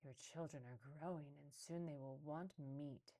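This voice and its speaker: A nosy neighbor fox from a storybook, voiced by a woman, with a low, dark voice. She questions everything skeptically and disapproves openly. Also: a little slow. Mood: disapproving. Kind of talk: storybook read-aloud.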